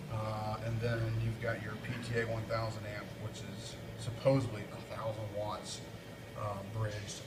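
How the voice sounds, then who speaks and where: A man giving a casual, off-the-cuff equipment walkthrough in an informal, explanatory way, recorded indoors on a handheld camera. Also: medium pitch. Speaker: video presenter, DJ and PA technician. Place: indoors, brick-walled workshop building. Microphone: handheld camera or phone, speaker off screen. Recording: noisy handheld camera audio with room ambience.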